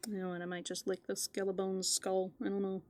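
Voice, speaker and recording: A woman chatting softly and casually in a whimsical, musing ASMR roleplay as a playful raccoon character, close to the microphone. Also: medium pitch, completely flat delivery, a little fast, background noise.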